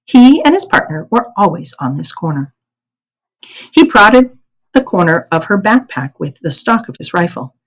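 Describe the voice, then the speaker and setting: A woman's voice: lowish voice, big pitch swings, moderate pace, poor audio. An American woman reading a storybook aloud as a teacher would, expressively and carefully, with small stumbles and corrections. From United States.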